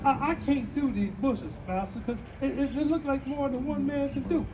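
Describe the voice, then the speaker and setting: A man's voice: very high voice, moderate pace, background noise. An actor in a live dramatic reading, playing a boastful, defiant enslaved man who spins tall tales in a folksy, stammering Southern American accent. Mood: storytelling. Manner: folksy, stammering repeats as he spins his story. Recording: muffled live stage recording with loud audience.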